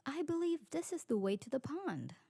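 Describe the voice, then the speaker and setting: Woman doing an elegant lady vampire, mellow n creaky, swoopy intonation, formal asmr roleplay. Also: sits high, very animated, moderate pace, dry recording, clean studio sound, full character voice. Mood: playful. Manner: refined, formal, poetic. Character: elegant, sociable female vampire aristocrat. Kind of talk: scripted ASMR roleplay acting.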